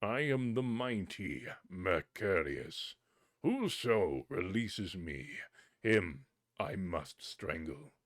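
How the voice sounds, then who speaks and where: A man voicing a huge, terrible male spirit who speaks in a booming, menacing way. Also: big pitch swings, drawn-out words, no room sound, studio quality, exaggerated voice.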